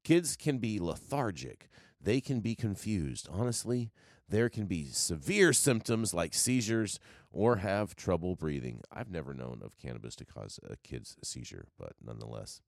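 A man's voice: low-pitched, big pitch swings, normal speed, creaky, no echo, clean studio sound. A man hosting a casual news chat, sarcastic, often stumbling and restarting mid-sentence. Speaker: news show host.

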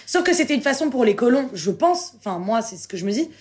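A woman's voice: on the high side, sing-song, fast talker, darkish tone. A woman, a guest in a casual round-table chat, explaining her thoughts in a reflective way. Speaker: podcast guest.

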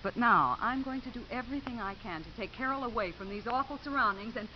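An actress playing a prim, indignant young investigator with formal, precise speech in a 1950s radio drama. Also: female voice, fairly high pitch, fast talker, a little hiss or hum, close to an everyday voice. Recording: muffled 1950s radio recording.

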